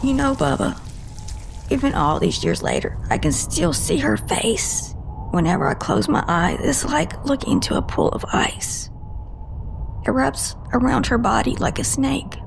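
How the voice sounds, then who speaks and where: A woman acting as a grieving female agent in an audio drama, her voice fairly high with a heavy creak. She confides haltingly and guardedly, breaking off mid-sentence, over faint background noise. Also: sing-song, full character voice. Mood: anguished, dread.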